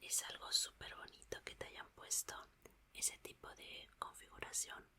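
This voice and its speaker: A woman doing an ASMR roleplay as a gentle, reassuring scientist, whispering soothingly and softly repeating her words. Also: normal speed. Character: gentle, reassuring female scientist repairing an android.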